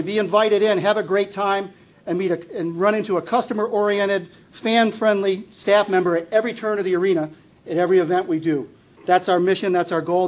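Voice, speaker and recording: A man hosting a press event, giving an emphatic, enthusiastic promotional presentation. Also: high-pitched. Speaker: sports executive hosting a press conference. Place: live press event at an arena.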